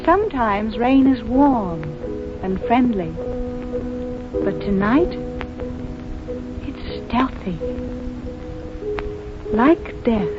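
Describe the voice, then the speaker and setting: An actress in a 1940s radio drama playing a brooding, suspicious young granddaughter with a solemn, intense manner. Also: female voice, fairly high pitch, animated, drawn-out words, pauses often. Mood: eerie, brooding. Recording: muffled vintage radio recording, loud music.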